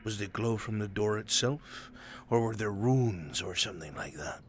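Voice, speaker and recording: A man playing a worldly, sly fantasy adventurer in live roleplay, with deliberate, coarse-mouthed delivery. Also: on the low side, expressive, close to an everyday voice. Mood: probing, serious. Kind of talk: live improvised fantasy roleplay in virtual reality. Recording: background music behind the voice.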